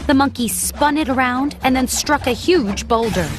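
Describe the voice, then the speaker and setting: A woman's voice: high-pitched, moderate pace. A woman narrating a scripted children's story as the storyteller. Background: clear background music.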